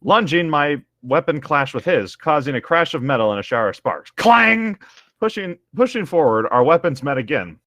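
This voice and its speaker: Male co-host for a fan fiction reading show, lively pitch swings, casual riffing, close dry recording. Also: normal speed, no room sound. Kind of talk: casual riffing and read-aloud narration.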